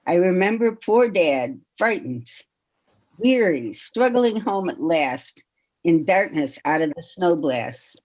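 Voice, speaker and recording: A woman reading a poem aloud with measured, deliberate phrasing. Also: fairly low pitch, expressive, a little mellow. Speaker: poetry reader.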